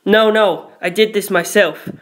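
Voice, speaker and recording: A woman's voice: mid-range voice, fairly even intonation, a little slow, pitched above the performer's own voice, natural voice. Lady as a nervy teen boy in an audiobook, awkward, defensive, blurts stuff out.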